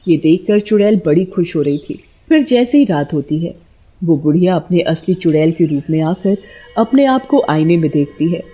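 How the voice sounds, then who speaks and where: Female bedtime-story narrator, soft mellow tone, medium pitch, slightly dull recording. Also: lively intonation, moderate pace, slightly rough. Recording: dull lo-fi audio.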